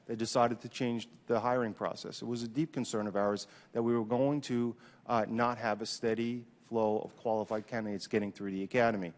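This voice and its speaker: Man, hearing witness, unscripted testimony, medium pitch, echoing room. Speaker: union leader testifying as witness. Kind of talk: spoken testimony, unscripted answers. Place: large indoor hearing room.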